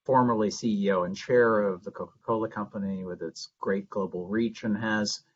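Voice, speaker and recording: A man moderating a webinar panel in halting phrases. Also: on the low side, fairly even intonation. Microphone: video call. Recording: dull lo-fi webinar audio.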